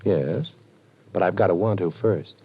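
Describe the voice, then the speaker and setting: An actor playing a cool, wisecracking ex-con detective in a 1940s radio drama, wry and self-assured. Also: male voice, low-pitched, normal speed, slight background noise. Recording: dull lo-fi old radio broadcast.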